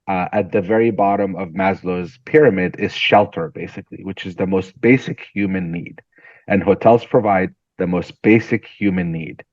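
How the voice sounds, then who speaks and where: A man from Syria, a guest entrepreneur, telling stories in an animated, conversational interview and often repeating words as he starts a sentence. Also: on the low side, dry recording, dull audio.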